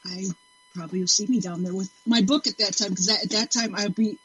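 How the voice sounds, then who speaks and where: A woman's voice: mid-range voice, steady tone, fast, a bit bright, breathy edge, soft background music. An American woman from Michigan, speaking as a guest panelist and author in casual chat. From Michigan, United States.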